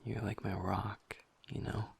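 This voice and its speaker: A man voices a happy-go-lucky young werewolf boyfriend in an audio roleplay, speaking in a deep, unhurried murmur. The voice is very husky and breathy, with a heavy gravelly fry. He half-whispers earnestly and affectionately to his partner. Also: flat delivery, exaggerated voice. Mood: tender, affectionate.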